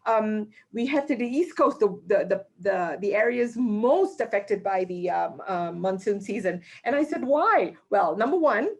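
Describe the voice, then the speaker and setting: A woman's voice: mid-range voice, lively intonation, a little slow, few pauses. A woman from Malaysia answering travel questions in a webinar, talking chattily with many fillers and restarts. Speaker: tourism guest speaker.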